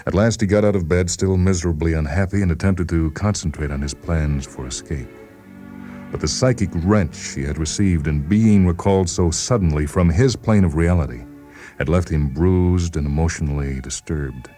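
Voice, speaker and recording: A man narrates literary fiction in an extremely deep, mellow voice. His reading is measured and expressive, dramatic in style, with faint music behind it. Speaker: narrator, short story reader.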